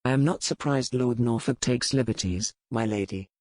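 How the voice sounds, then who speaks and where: Male actor as a cold, sardonic earl for romance audiobook; deliberate, menacing, dry close sound. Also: mid-range voice, a little mellow, no echo, natural voice. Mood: cold threat. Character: cold, sardonic, menacing young English earl, dangerous rake. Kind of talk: audiobook character acting.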